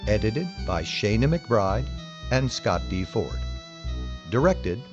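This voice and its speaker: A man's voice: fairly low pitch, very animated, slow. A man reading scripted credits as an announcer. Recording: dull recording under prominent music.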